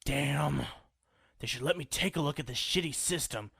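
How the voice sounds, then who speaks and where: A man's voice: fairly high pitch, normal speed, creaky, a bit bright, slightly rough, no echo, clean studio sound, heavily acted. A man playing a nerdy lab technician in a radio play. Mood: grumbling. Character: nerdy male lab technician, grumbling then frightened. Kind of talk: amateur radio play acting.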